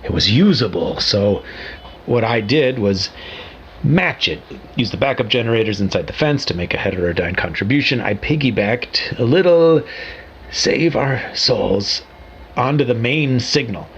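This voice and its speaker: A man plays a lone radio operator in an audio drama, rueful and wry, with a low, gravelly voice. He confides haltingly, over a dull lo-fi transmission with clear static. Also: expressive, natural voice. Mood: wry, measured. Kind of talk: found-footage audio drama monologue.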